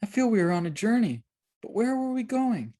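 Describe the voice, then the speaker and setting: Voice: medium pitch, very animated, fairly quick, dark tone, pitched above the performer's own voice, lightly acted. A narrator voicing a brave, faithful girl who leads young pilgrims, speaking firmly and earnestly in a storybook. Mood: confused. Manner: firm, earnest, declarative.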